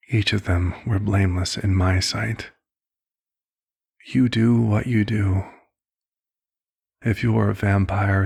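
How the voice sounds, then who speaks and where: Casting: male actor as aged vampire physician for ASMR roleplay; kindly, formal, fatherly, heavy vocal fry, even intonation. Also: on the low side, exaggerated voice. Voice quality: husky, gravelly. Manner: measured, slightly formal, old-fashioned phrasing. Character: old, compassionate male vampire doctor, gently paternal and measured.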